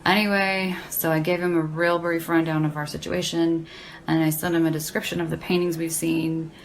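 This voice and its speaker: A sardonic young woman with a low voice that crackles with fry. She talks in dry, slangy banter, teasing and unimpressed, as in an audio drama. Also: level tone, moderate pace. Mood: dry, matter-of-fact. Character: deadpan, sardonic young woman who loves horror paperbacks.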